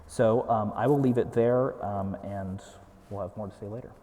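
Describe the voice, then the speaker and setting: Low mellow guy on a panel, some ums, echoey hall. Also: fairly even intonation, moderate pace. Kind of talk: academic panel talk.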